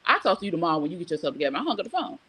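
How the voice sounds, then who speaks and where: A woman's voice: fairly low pitch, very animated, rapid-fire, fairly bright tone, breathy edge. A middle-aged woman hosting a casual live morning show, giving frank, emphatic advice and telling stories conversationally. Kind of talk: casual chat, reading viewer comments and telling personal stories.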